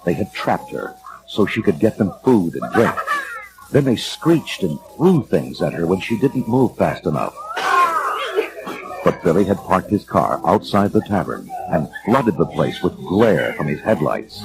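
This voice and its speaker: Storybook narrator, male: very low gravelly register, animated and theatrical, music underneath. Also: measured pace, heavy background noise. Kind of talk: dramatic children's storybook narration.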